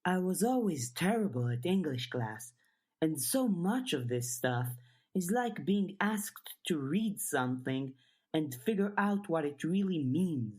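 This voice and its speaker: A woman's voice: deep voice, lively intonation, unhurried, darkish tone, husky, full character voice. A woman voicing an eager, earnest girl in an audiobook, chatty and questioning, a little tentative. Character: eager, earnest girl who loves puzzles, overthinking. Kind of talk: audiobook character dialogue.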